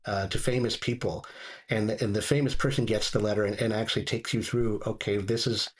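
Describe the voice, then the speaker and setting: Husky, lowish-voiced man chatting. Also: steady tone, brisk. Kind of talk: casual chat.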